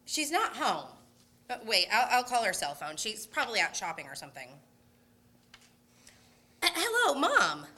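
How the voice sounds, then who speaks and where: A woman's voice: fairly high pitch, wildly swooping pitch, normal speed, long pauses, bright, forceful tone, heavily acted. A woman playing a flustered, forgetful mother, exasperated yet placating, in an amateur Christmas radio skit. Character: flustered, forgetful mother on a family road trip. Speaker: radio play actor.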